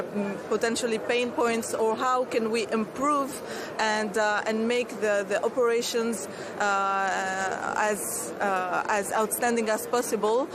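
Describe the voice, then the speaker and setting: Woman, from Tunisia, thirties, startup founder, earnest, halting restarts, slightly high voice. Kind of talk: interview answers. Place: outdoor expo stand. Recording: clear street noise behind.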